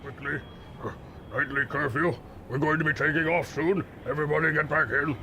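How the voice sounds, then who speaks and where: A man's voice: fairly high pitch, steady tone, fairly quick, close to an everyday voice. A man playing a thuggish, barking henchman soldier who obeys his master, in an audio drama. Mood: bossy.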